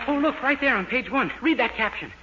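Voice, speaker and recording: A man's voice: extremely high pitch, expressive, brisk. A man playing a naive, cheerful, dim-witted young man in a 1940s radio sitcom, speaking with guileless earnestness. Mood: eager. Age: young adult. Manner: guileless, earnest, literal-minded. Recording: noisy, muffled 1940s radio recording.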